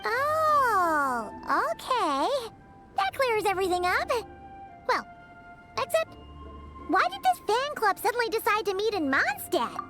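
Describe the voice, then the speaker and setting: Tiny childlike fairy, squeaky, very high, animated, blunt, video game sidekick. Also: female voice, slow, music in the background, exaggerated voice. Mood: curious. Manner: squeaky, speaks of self in third person.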